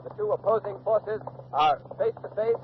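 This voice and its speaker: An actor plays an earnest radio reporter, a man with a fairly high voice giving descriptive, articulate eyewitness commentary. It is 1940s radio docudrama, with dulled old sound and light background noise. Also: moderate pace, lightly acted. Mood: urgent, tense. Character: earnest male radio field reporter at a colonial standoff. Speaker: on-the-scene news reporter. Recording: dull old radio recording with hiss.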